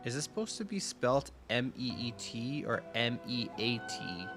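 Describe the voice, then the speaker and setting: A woman's voice: very deep voice, big pitch swings, moderate pace. A woman streamer reacting casually to a game, with a goofy, jokey, slangy way of talking. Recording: loud game music behind.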